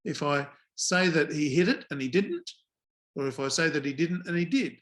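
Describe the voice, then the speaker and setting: An older man tells anecdotes as a podcast guest. His voice sits a touch high for a man, and his humour is dry and understated. He speaks at a measured pace with frequent small pauses. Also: lively intonation, fairly bright tone. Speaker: guest, former cricket umpire. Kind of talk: relaxed storytelling in a podcast interview.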